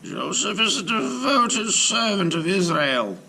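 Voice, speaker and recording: A man voicing an elderly male rabbi, solemn and commanding, his lines raised high and urgent, at times whispered. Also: very animated, a little slow, creaky, pushed and bright, a little hiss or hum, full character voice.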